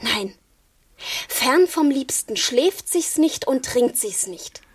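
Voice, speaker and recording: A tender, playful serving girl in a radio drama, with a fairly high voice. She speaks slowly and coyly, set away from the microphone. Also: animated, slightly rough, dry recording, far from the mic, exaggerated voice. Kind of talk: German radio play acting. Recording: off-mic, faint noise and clicks.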